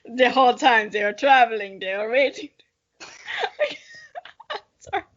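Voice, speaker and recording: Higher-voiced giggly woman, slightly dull recording. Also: huge pitch swings, slow pace, a bit bright. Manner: giggly, self-mocking. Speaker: podcast co-host reading aloud. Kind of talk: casual chat and amateur dramatic reading.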